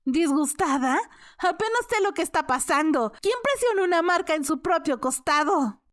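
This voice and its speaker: A woman voicing an exasperated, sharp-tongued young female apothecary who protests indignantly in an audiobook. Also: very high-pitched, lively intonation, moderate pace, pitched above the performer's own voice. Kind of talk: audiobook character dialogue.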